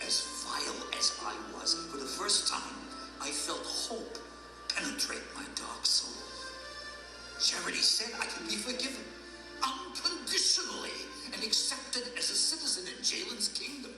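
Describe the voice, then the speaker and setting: An actor playing a reformed former villain in an audio drama, with a hearty, emotional, theatrical delivery. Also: male voice, very high-pitched. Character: reformed former villain, once gruff and wicked, now joyfully emotional. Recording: very echoey hall, music behind.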